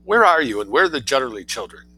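A man's voice: medium pitch, sing-song, fast talker, sharp tone, slight background noise, pitched above the performer's own voice. A man voicing an anxious well-to-do mother who scolds in a shocked way. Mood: alarmed.

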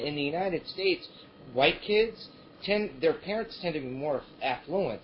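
A man from Croatia explaining things in casual, rambling live talk. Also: fairly high pitch. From Croatia, former Yugoslavia. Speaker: talk show participant. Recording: dull lo-fi audio with clear noise.

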